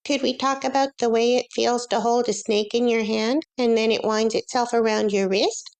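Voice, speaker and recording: A woman's voice: on the high side, fairly even intonation, moderate pace, darkish tone, pitched above the performer's own voice, close to an everyday voice. Woman playing an eager schoolboy, earnest and rambling, slightly raised voice in a storybook.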